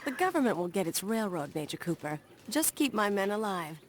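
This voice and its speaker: A woman's voice: medium pitch, sing-song, fairly quick, a little hiss or hum, close to an everyday voice. Woman playing a brusque young company boss. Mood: curt, defiant. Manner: brusque, self-assured. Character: brisk, confident young railroad company boss. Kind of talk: video game cutscene acting.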